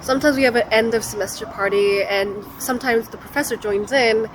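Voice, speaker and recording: A woman's voice: on the high side, fairly quick, noisy background. A young woman asking casual questions as an interviewer.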